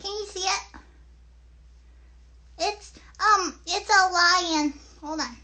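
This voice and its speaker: A very high, bright voice of an excitable little girl puppet. She chats to young viewers in an unhurried, halting way, losing her thread and starting over like a small child hosting a live puppet show. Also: exaggerated voice. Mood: chatty, explaining. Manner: childlike, halting, self-interrupting chatter. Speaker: puppet host.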